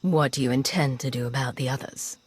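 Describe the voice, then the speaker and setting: Low, husky secret agent. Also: female voice, brisk. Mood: challenging. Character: cool, composed female imperial spy. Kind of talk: video game character acting.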